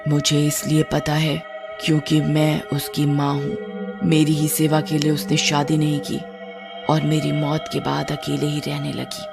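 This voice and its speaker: A woman's voice: low-pitched, monotone, a little slow, vocal fry. A woman voicing a stern, solemn female spirit, a dead mother speaking with authority in a horror cartoon. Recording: music behind the voice.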